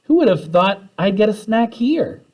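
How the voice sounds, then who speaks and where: A man voicing a curious, excitable schoolgirl in a storybook, with an exclamatory, inquisitive manner. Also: high-pitched, moderate pace, voice pushed up. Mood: excited discovery.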